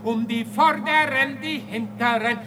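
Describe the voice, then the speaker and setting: An actor playing a brash, mocking street ballad singer, half singing and half declaiming in a theatrical 1960s radio play. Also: male voice, very high voice, a crowd in the background. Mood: mock solemn. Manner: declamatory, drawn-out phrases between spoken asides.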